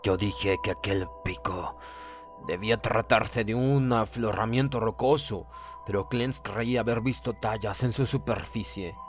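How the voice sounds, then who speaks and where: A man narrating a horror audiobook in the first person, reading solemnly and deliberately with theatrical emphasis. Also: on the low side. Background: music.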